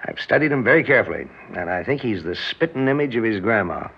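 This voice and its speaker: A man's voice: lowish voice, lively intonation, quick pace, vocal fry, slight background noise. An actor in an old radio drama playing a jovial middle-aged husband and father, playful and teasing. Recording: muffled old radio recording.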